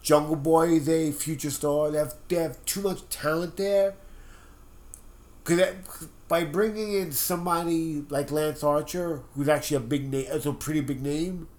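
A man's voice: on the high side, steady tone, darkish tone. A man with a stuffed-up nose, co-hosting a casual wrestling podcast and arguing his opinions at length. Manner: opinionated, talks at length in quick bursts. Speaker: podcast co-host.

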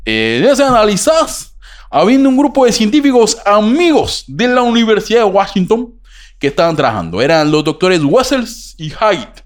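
A man putting on a mock Cuban accent as an excitable doctor giving scientific testimony in a comic impression. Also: high voice, normal speed, pitched above the performer's own voice. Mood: mock-earnest. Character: excitable Cuban doctor testifying about alien tissue samples. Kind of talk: comic impression on a talk show.

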